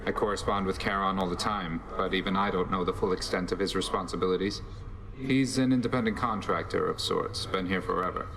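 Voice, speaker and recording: A man's voice: sits low, steady tone, brisk, creaky. A man playing a reserved, matter-of-fact god of death in a video game, serious and formal. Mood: stern, reserved. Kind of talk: video game character dialogue.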